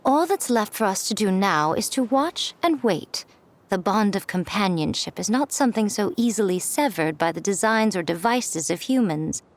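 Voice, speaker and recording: A woman playing an elegant, self-assured mystical lady in a game, haughty, composed and knowing. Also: medium pitch. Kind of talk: English game dub voice acting.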